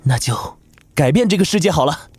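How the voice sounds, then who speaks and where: An idealistic young male mage from a fantasy cartoon. His fairly high voice is mellow and husky, with an earnest, casual, slightly wry delivery. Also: animated, a little fast. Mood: idealistic, determined. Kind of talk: animated fantasy drama acting.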